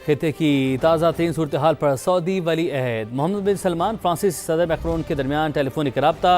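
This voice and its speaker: Male Pakistani anchor, high register, punchy scripted headline read over light news music. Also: brisk, darkish tone. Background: news music bed.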